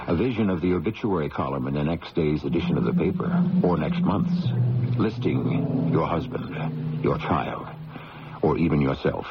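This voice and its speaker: A man playing an ominous radio mystery host, narrating in a deliberate, dramatic, questioning way. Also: low-pitched. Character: ominous male host posing dark questions. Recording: dull old radio broadcast, loud music behind.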